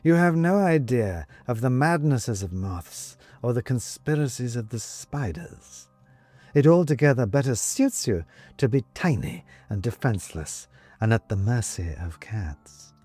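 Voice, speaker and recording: A man voicing a sly, predatory house cat that taunts its prey in a slow, condescending drawl. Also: medium pitch, lively intonation, darkish tone, no echo, faint music behind.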